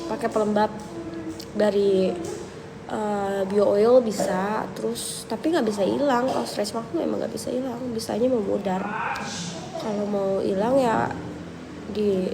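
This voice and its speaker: A young woman with a cold, chatting casually with viewers on a livestream, relaxed and thinking aloud. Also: medium pitch, unhurried, creaky, long pauses. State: has a cold, coughing. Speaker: livestream host answering viewers. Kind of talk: casual live chat reading viewer questions. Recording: noisy livestream audio.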